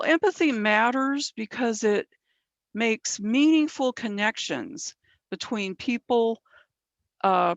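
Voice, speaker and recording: A woman's voice: medium pitch, creaky, no room sound, highs cut off. A woman presenting a mentoring training session, explaining step by step and often checking in with "right?", her voice a little worn. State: losing her voice. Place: from San Bernardino County, California.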